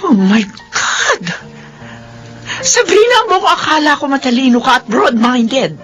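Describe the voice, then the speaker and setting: A woman's voice: sits high, lively intonation, takes it slowly, fairly bright tone, faint music behind, exaggerated voice. A woman playing a stubborn, indignant mother in a melodramatic radio drama, firm and argumentative. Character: stubborn, lovestruck widowed mother defending her choices.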